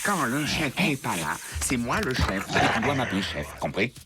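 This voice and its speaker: A man playing a pompous, self-important animal underling in an animated cartoon, insisting on his rank. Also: mid-range voice, normal speed, close to an everyday voice. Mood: bossy. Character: bossy, self-important male predator underling. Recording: loud music under the voice.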